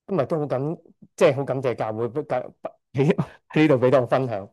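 A man's voice: medium pitch, fast, a little mellow. A middle-aged man from Hong Kong giving a church testimony, hesitant, often correcting himself and chuckling shyly. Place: church service.

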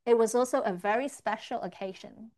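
A woman's voice: on the high side, fairly quick, darkish tone. A woman interpreting a church testimony, rendering the speaker's words line by line in the first person. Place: church service.

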